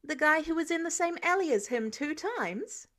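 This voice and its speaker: Woman as young male student hero, high voice, animated intonation, wary, cheeky, audiobook dialogue. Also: moderate pace. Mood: cheeky, challenging.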